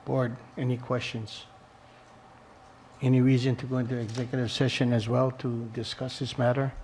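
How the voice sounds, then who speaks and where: A man's voice: mid-range voice, little pitch movement, moderate pace, darkish tone, a little hiss or hum. A man chairing a formal board meeting, moving through procedure in a businesslike way.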